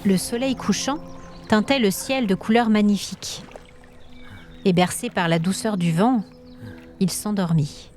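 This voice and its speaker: A woman's voice: mid-range voice, a little slow, pauses often, darkish tone. A woman storyteller reading a scripted fantasy tale as dramatic narration. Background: music.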